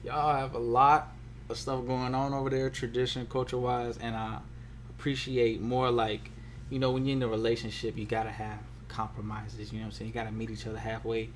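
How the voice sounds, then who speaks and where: A man's voice: medium pitch, level tone, fairly quick. Colloquial man chatting, some background noise. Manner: colloquial, peppered with "you know what I'm saying". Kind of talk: casual relationship chat.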